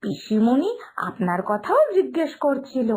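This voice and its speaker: A woman's voice: high-pitched, big pitch swings, a little slow, darkish tone, breathy edge, heavily acted. A woman voicing a polite, naive young daughter-in-law in a cartoon drama, earnest in manner, with a West Bengal accent. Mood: pleased.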